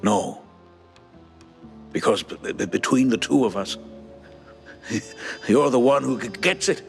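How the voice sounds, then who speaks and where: A man voicing an earnest, idealistic mouse in a cartoon game, an old war buddy with a heavy, halting stutter and a warm-hearted manner. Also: mid-range voice, gravelly, faint music behind. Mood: earnest, fond.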